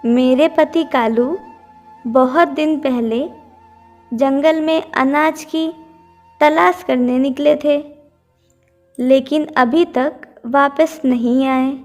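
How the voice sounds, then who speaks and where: Woman voicing distressed cartoon mother bird, very high pitch, slow delivery, pleading, plaintive. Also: steady tone, a little mellow, soft background music. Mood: sorrowful. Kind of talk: Hindi cartoon story dubbing.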